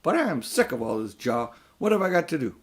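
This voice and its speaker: A man's voice: fairly high pitch, big pitch swings, fast. A man voicing a brave, indignant young schoolboy in a children's audiobook, talking bluntly and defiantly. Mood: fierce, fed up. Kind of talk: children's audiobook character reading.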